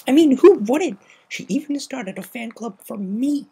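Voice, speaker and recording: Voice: mid-range voice, expressive, a little slow, gravelly, warm tone, breathy edge, voice pushed up, exaggerated voice. A reader performing a brash, proud cartoon pegasus mare, defensive and hurting underneath, in a dramatic fan fiction reading. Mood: preening.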